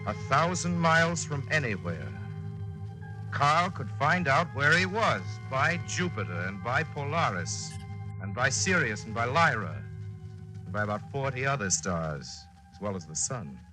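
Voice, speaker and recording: A man's voice: mid-range voice, very animated, unhurried, poor audio. A male actor playing a wry, folksy, dramatic storyteller narrating a wartime radio play in 1940s style. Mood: intense, dramatic. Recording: old radio recording with music.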